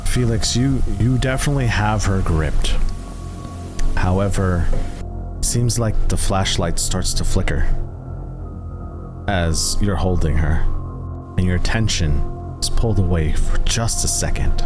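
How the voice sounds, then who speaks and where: Man game master, slow deliberate narration, low voice, background music. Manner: measured, deliberate narration with pauses. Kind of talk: narrating a tabletop horror game.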